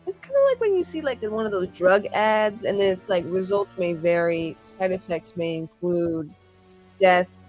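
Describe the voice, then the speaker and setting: A woman improvises as a player in a tabletop roleplaying game, rambling along at an unhurried pace. Her talk is slangy, sweary and wry, with music faintly behind her. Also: fairly low pitch. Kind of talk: casual improvised roleplay chat.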